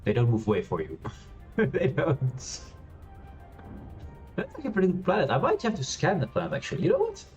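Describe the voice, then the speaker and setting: A man streaming a space game, chatting loosely with many fillers and restarts, laughing now and then. He has a loud voice. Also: a little high, huge pitch swings, normal speed, pauses often, dull audio. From Europe. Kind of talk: casual gameplay commentary. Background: quiet game music.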